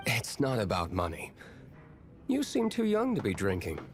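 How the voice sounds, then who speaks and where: A man's voice: on the low side, wildly swooping pitch, normal speed, over music. A man voicing a reserved, suspicious young tavern owner in a video game, terse and dry. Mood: dry, stern.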